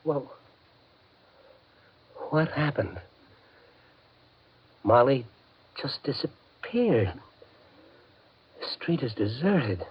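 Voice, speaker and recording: An actor playing a desperate, impulsive gambler in 1950s radio suspense style, agitated, halting and intense. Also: male voice, medium pitch, very animated, husky, heavily acted. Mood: bewildered. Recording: muffled, hissy 1950s radio recording.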